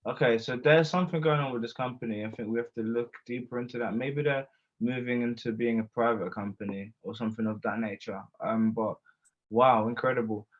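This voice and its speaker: Man, co-host, animated, jumps in with slang, mid-pitched, dull lo-fi call audio. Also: fairly quick. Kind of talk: casual webinar chat. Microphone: video call mic.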